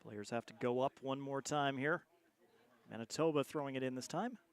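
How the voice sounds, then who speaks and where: A man's voice: mid-range voice, normal speed. A man giving conversational colour commentary at a live outdoor rugby match. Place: outdoors at a sports field.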